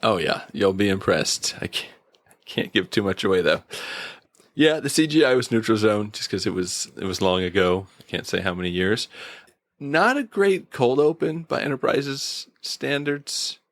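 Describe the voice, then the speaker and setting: A man with a creaky voice, casually reviewing a TV episode as a podcast co-host. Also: fairly low pitch, moderate pace, no room sound, studio quality.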